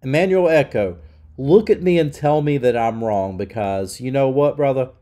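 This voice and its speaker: A man's voice: mid-range voice, moderate pace, mellow. A middle-aged man hosting his own channel, ranting with heat and emphasis, sounding nasal from being sick. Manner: heated, emphatic. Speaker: channel host. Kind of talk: opinion rant.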